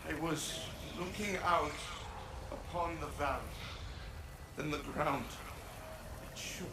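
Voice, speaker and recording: Slow, echoing, mournful male ghost. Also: on the high side. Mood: dazed, wistful. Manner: halting, earnest. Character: mournful lovelorn male ghost, dazed and pleading. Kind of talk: video game voice acting. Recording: echoey, noisy ambient game scene.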